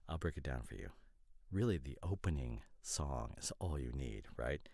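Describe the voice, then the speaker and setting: Very deep-voiced, opinionated boyfriend played by a man. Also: animated, mellow, no room sound, exaggerated voice. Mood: exasperated ranting. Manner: pedantic, exasperated, halting conversational asides. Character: opinionated, nitpicking boyfriend, a professional costume designer. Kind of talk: boyfriend roleplay audio drama, improvised-sounding casual rant.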